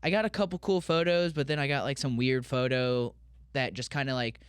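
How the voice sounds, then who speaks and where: A man's voice: medium pitch, fairly even intonation, fairly quick, clean tone, no echo, clean studio sound. A man in his thirties from Colorado, a pro skateboarder talking as a podcast guest, candid and rambling with lots of restarts. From Colorado, USA. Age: 30s. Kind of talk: casual podcast interview.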